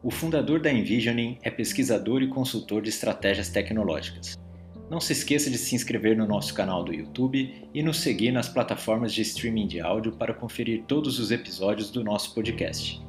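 Low-voiced podcast host. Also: male voice, faint music behind. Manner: measured, scripted welcome. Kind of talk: podcast intro and interview question.

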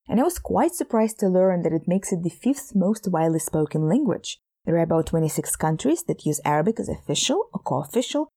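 A woman's voice: fairly low pitch, gravelly, mellow. A woman hosting a podcast, reading a scripted narration in a playful, chatty way and speaking straight to the listener. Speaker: podcast host.

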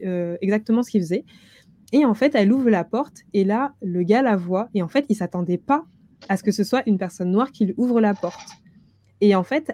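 A woman's voice: medium pitch, warm tone. A young woman hosting a casual book discussion, speaking in an informal, colloquial way. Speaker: book club host.

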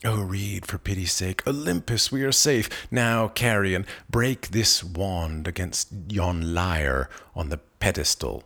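A man's voice: deep voice, takes it slowly, hardly any pauses, fairly bright tone, exaggerated voice. A man voicing an aged, mysterious sage from a narrative poem, exclamatory and urgent in archaic verse. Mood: urgent, excited. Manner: exclamatory, archaic poetic speech.

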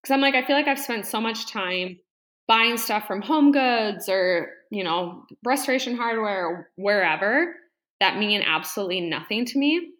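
A woman guesting on a podcast, chatting casually and rambling with lots of fillers and quick laughs. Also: medium pitch, lively intonation, fairly bright tone. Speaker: podcast guest, interior design business owner. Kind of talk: casual interview chat.

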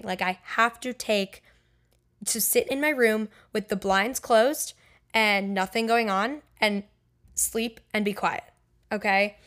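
Young woman, podcast host, casual solo chat, chatty, lots of fillers, candid, mid-pitched voice. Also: a bit bright. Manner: chatty, filler-heavy with frequent "like" and "um"; candid and personal.

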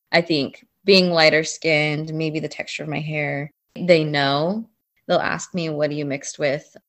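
A young woman speaking as a panel guest in a casual, reflective discussion, thoughtful and a little hesitant. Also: deep voice. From United States. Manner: thoughtful, hesitant, many "I think"s.

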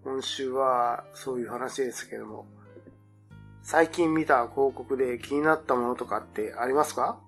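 A man's voice: mid-range voice, moderate pace, fairly bright tone, faint music behind. A man hosting a casual marketing talk, analytical in manner and mixing slang with business jargon. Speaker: podcast host.

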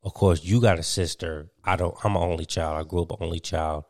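Deep-voiced young man, rambling chat. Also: steady tone, brisk, a little mellow, dry recording, clean studio sound. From Virginia, US. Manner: rambling, filler-heavy, laughs often. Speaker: podcast host. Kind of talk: casual chat.

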